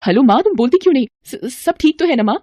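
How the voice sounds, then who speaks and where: Lady doing young daughter-in-law in a kids story, emphatic, higher voice, talks fast. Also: expressive, warm tone, no room sound, clean studio sound. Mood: anxious. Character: young married daughter-in-law, dutiful but quick-tempered. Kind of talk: animated storybook dialogue.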